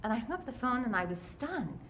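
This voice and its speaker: A mature woman telling a personal faith story in an earnest, dramatic, animated way, acting out the dialogue as she goes. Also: fairly high pitch, brisk, slight background noise.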